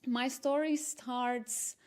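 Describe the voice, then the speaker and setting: A woman's voice: high-pitched, steady tone, drawn-out words, a little mellow, smooth. A woman in her thirties from Portugal, speaking English as an eager, earnest interview guest, with small restarts. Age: 30s. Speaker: guest, marketing entrepreneur. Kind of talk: casual interview talk.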